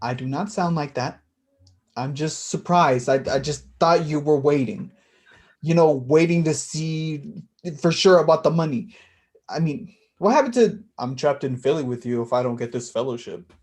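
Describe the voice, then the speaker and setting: A woman's voice: low-pitched, moderate pace, a little mellow, natural voice. A woman playing a wounded, defensive, self-blaming younger brother in a naturalistic staged play reading.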